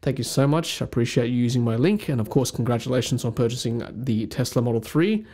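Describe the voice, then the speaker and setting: A man's voice: mid-range voice, fast talker, mellow. A young man giving an upbeat, methodical voice-over, listing tips by number as the host of his channel. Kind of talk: voice-over explaining a map with slides.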